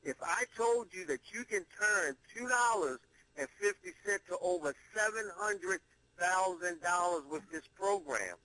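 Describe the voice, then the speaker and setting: Man, high bright voice, emphatic sales pitch, deliberate pauses, dry close sound. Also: lively intonation, dry recording, low-quality recording. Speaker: presenter pitching an online money-making program. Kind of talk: promotional conference-call sales pitch.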